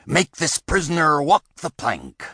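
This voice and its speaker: Man putting on a gruff bullying pirate rabbit captain. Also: a little high, wildly swooping pitch, measured pace, sharp tone, no echo, studio quality, pitched above the performer's own voice, exaggerated voice. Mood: commanding. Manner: barking orders in pirate slang.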